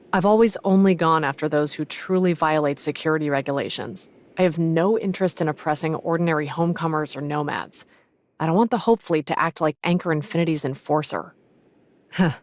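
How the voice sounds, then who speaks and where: An actress playing a proud, principled young fleet officer in an anime game, composed, frank and firm. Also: female voice, low-pitched, close to an everyday voice. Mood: reassuring, then wistful. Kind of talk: English anime game voice acting.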